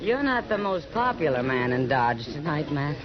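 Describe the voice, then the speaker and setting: Plain-spoken frontier woman, mid-pitched, candid, muffled vintage radio western, busy saloon behind. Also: very animated, normal speed. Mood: scornful. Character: candid, world-wise frontier woman, loyal friend. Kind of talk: 1950s western radio drama acting. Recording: muffled 1950s radio, loud music, crowd. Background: saloon music and crowd chatter.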